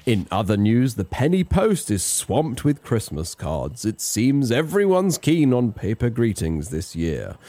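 Man putting on a slow, swooping vintage British newsreader. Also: mid-range voice, wildly swooping pitch, warm tone, no echo. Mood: wry, formal. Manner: pompous, formal, theatrical. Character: pompous old-time British radio newsreader, cheerfully formal. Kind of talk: vintage radio news bulletin acting.

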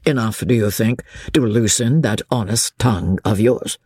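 A man voicing a suave, calculating male official in an audiobook, quietly commanding and impatient, with menace underneath. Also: fairly low pitch, normal speed, husky, no room sound, clean studio sound. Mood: suave probing. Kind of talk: audiobook character voice.

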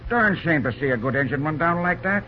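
A man's voice: on the high side, expressive, brisk, faint music behind, close to an everyday voice. An actor playing a wary, folksy American railroad fireman, a loyal drifter with slangy, conspiratorial talk, in an old-time radio drama. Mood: grumbling. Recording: dull, lo-fi old radio broadcast recording.

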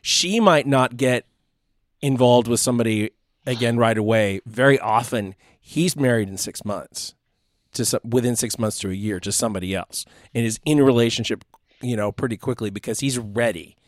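A man's voice: mid-range voice, normal speed, no room sound, clean studio sound. A man in his fifties co-hosting a podcast, affable and laughing easily, stumbling a little as he restarts. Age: 50s. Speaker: podcast co-host. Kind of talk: casual podcast interview.

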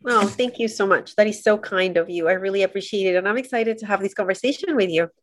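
A woman's voice: medium pitch, quick pace, dry recording. A woman from Colombia speaks as a podcast guest. She tells her story in an earnest, reflective way, and her voice comes through dry and close. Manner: earnest, thoughtful.

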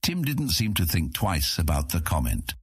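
Very deep, husky male audiobook narrator. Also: huge pitch swings, moderate pace, no room sound, studio quality. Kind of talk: scripted fantasy audiobook narration.

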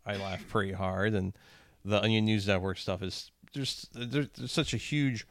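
Laid-back man with a lowish voice. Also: steady tone, normal speed, a bit bright. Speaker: podcast co-host. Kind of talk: casual comedic chat.

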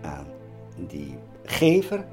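An older man with a low voice tells a personal account to an interviewer. He forms his phrases hesitantly and pauses often, with music playing clearly underneath. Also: huge pitch swings, darkish tone.

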